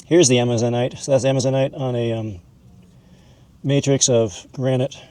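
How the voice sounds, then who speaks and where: A man's voice: medium pitch, fairly even intonation, normal speed, warm tone, smooth. Man giving a folksy, informal explainer. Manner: folksy, calls objects "this guy". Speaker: host, mineral hobbyist educator. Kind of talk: informal explainer, showing specimens.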